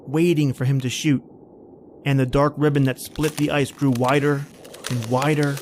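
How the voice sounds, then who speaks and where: A man's voice: a little high, a little mellow, a little hiss or hum, close to an everyday voice. A man playing a haunted, panicky man stranded in polar cold, telling his ordeal in an old-time radio horror style. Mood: haunted, resigned. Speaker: podcast host and actor.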